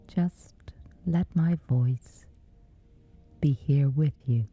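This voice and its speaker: Woman, whispery, soothing meditation guide, very slow, low voice. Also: breathy edge, phone-quality sound, faint music behind.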